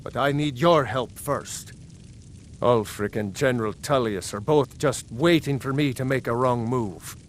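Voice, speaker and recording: A man's voice: mid-range voice, a little slow, a little hiss or hum, natural voice. Man playing a proud, lordly fantasy game ruler. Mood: firm, worried. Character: proud, wary male Nord jarl guarding his city. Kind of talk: video game character dialogue.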